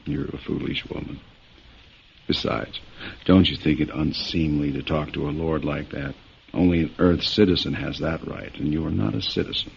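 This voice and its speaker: A proud, irritable lord in a radio drama, stern and clipped. His low voice has a gravelly creak, on a dull, hissy recording. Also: steady tone, moderate pace, heavily acted. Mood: weary, peevish. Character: proud, weary lord, an idealistic but irritable secret plotter. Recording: dull, noisy vintage radio sound.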